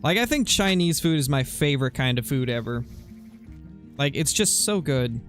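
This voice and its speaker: A man's voice: medium pitch, lively intonation, moderate pace, soft background music. A young man from the US West Coast chatting casually with viewers while he plays, rambling in a laid-back way and saying "like" a lot. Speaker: streamer.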